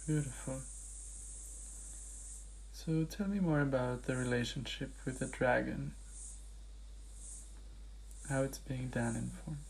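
A man facilitating a hypnotic healing session, giving gentle instructions and asking questions. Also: mid-range voice, slow, gravelly, long pauses, poor audio.

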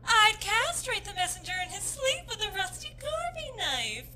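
A menacing young wife and mother from a cartoon parody, played by a woman. She has a piercingly high, lively voice with a short temper, over noticeable noise. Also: normal speed. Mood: sweetly menacing.

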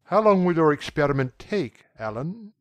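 A man voicing a young gentleman who speaks rapidly and with authority, at times pleading. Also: fairly high pitch, big pitch swings, dry recording, pitched above the performer's own voice. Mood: brisk, commanding. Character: young gentleman, commanding yet anguished.

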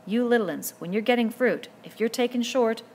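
A woman's voice: on the high side, fairly quick, a little mellow, a little hiss or hum, voice pushed up, close to an everyday voice. A woman reading a novel aloud, voicing an earnest, commanding boy chief who pleads and insists as he lays down rules. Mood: urgent.

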